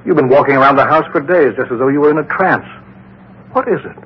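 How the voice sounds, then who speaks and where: A man's voice: medium pitch, fast, slight background noise, lightly acted. An actor playing an anxious, pleading, well-meaning man who stammers and gets flustered, in 1950s radio drama style. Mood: concerned. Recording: dull vintage 1950s radio recording.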